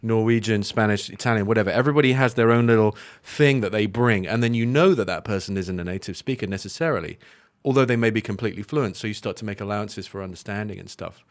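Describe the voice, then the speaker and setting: A man talks in a relaxed podcast conversation, his voice sitting fairly low. The sound is close and dry, with almost no room around it. He chimes in often with short agreements. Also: fast, hardly any pauses, no echo. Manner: affirming, chatty. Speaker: podcast guest. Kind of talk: casual conversation.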